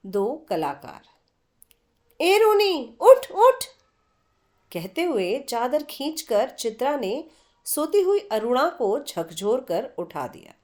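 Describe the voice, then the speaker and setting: High-voiced expressive woman storyteller. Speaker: story reader.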